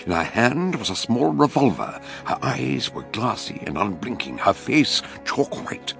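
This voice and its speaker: An actor playing a shrewd, courteous older British detective in a radio drama, speaking in a ponderous, theatrical way. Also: male voice, medium pitch, sing-song, a little slow, gravelly, a bit bright, faint music behind, heavily acted. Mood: grave storytelling. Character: shrewd, grave, genial older male detective. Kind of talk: radio drama acting and narration.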